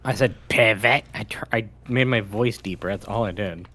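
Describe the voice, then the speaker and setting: A man's voice: lowish voice, moderate pace, bright, forceful tone. A man on a raid's voice chat, bantering in mock indignation with the streamer. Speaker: raid member on voice chat. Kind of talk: casual gaming voice chat.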